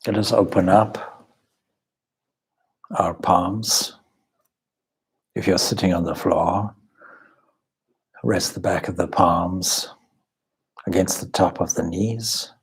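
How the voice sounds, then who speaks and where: Male meditation guide: very slow delivery, heavily breathy and husky, calm and instructive. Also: low-pitched, low-quality recording. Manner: calm, measured, instructive with deliberate pauses. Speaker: swami leading a guided meditation.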